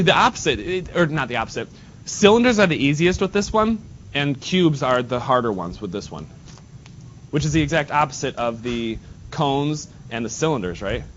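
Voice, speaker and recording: A male math teacher with a nasal voice, teaching a class in a casual way and talking to students while he works at the board. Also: a bit bright. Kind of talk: classroom lesson. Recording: dull lo-fi sound, faint rumble.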